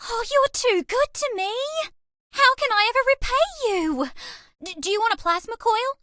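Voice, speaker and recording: Very squeaky, bubbly alien mouse girl. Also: lively intonation, moderate pace, sharp tone, no echo, clean studio sound, exaggerated voice. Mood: awed, grateful. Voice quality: squeaky, girlish.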